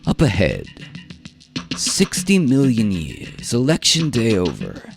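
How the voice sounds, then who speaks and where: A man's voice: medium pitch, wildly swooping pitch, slow, creaky, voice pushed up, heavily acted. A man voicing a nervous, awestruck big-game hunter in a radio drama, hesitant and stammering. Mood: uneasy wonder. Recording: live performance with music bed.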